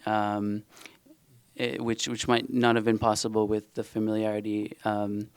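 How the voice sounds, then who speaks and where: A man's voice: fairly low pitch, completely flat delivery, a little slow, smooth, no echo. A young man from Israel whose first language is Hebrew, speaking hesitantly with many fillers and restarts on a panel. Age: young adult. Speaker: panel author. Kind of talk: panel discussion and reading.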